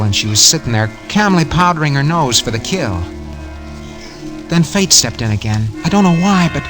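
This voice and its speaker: A man's voice: fairly high pitch, poor audio. An actor playing a guilty, nervous schemer who narrates his own crime in hardboiled slang, in 1940s radio drama style. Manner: hardboiled slangy first-person storytelling. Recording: vintage 1940s radio recording, music behind.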